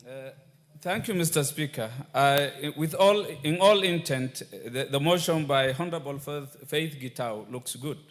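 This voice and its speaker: A Kenyan man addresses parliament with mounting passion, firing rhetorical questions and repeating his demands. His voice sits high for a man. The long reverb of a large chamber trails behind each phrase. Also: measured pace. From northeastern Kenya. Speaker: member of parliament. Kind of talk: parliamentary speech.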